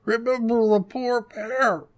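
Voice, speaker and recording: A grumbling old wife from a storybook. The voice jumps up high and shrill. She is greedy and scolds as she talks. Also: very animated, slow pace, fairly bright tone, husky, heavily acted. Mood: greedy, cloying.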